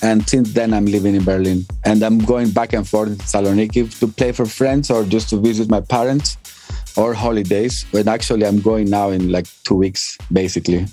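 A man, giving casual interview answers as a DJ in halting, English. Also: on the low side, steady tone, dark tone, faint music behind. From Albania and northern Greece. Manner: halting non-native English phrasing. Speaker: interview guest, DJ and music producer.

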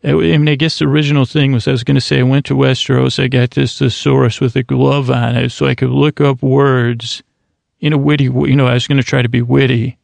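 Rambling gravelly-voiced podcast man. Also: medium pitch, level tone, brisk, a little mellow, dry recording. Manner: rambling, self-mocking, laughs at his own jokes. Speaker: podcast host. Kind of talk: rambling solo podcast intro.

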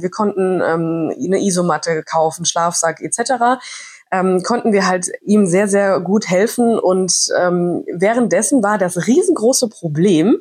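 A woman's voice: lowish voice, a little mellow, breathy edge. A woman with a cold hosts a podcast in a chatty, reflective monologue with frequent hesitations. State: has a cold. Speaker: podcast host.

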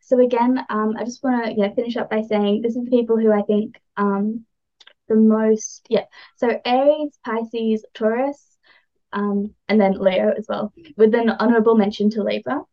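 A woman's voice: on the high side, steady tone, normal speed, dull audio. A woman chatting casually as she explains astrology as a podcast guest, informal, enthusiastic and encouraging.

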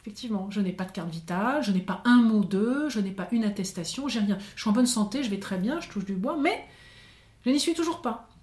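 A woman's voice: medium pitch, lively intonation, brisk, a little mellow. A woman methodically explaining social security rules as a podcast guest. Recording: roomy echo.

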